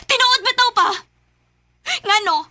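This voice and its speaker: A woman's voice: extremely high pitch, measured pace, bright, forceful tone, no echo, full character voice. An actress playing a hurt, frustrated young daughter who stands up to her parents in a radio drama. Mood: pleading, frustrated. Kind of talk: Philippine radio drama acting.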